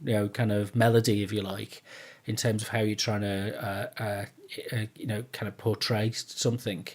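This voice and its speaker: A man's voice: fairly low pitch, flat delivery, moderate pace. A man hosting a casual podcast chat, speaking in a rambling, tentative way and often repeating his phrases. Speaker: podcast host.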